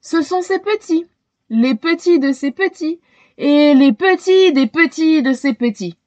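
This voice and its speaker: A woman's voice: high-pitched, expressive, a little slow, a little mellow, no room sound, dull audio, pitched above the performer's own voice. A woman voicing a young, earnest, determined girl in a children's storybook, exclamatory and breathless.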